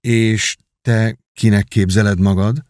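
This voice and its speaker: A young nobleman who feigns gentle pity, as a male audiobook reader puts him on. He speaks softly and slowly, with an even, careful tone. Dry, close recording. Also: on the low side, dry recording, natural voice. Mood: feigned gentle. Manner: soft, measured, feigned pity. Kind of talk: audiobook reading.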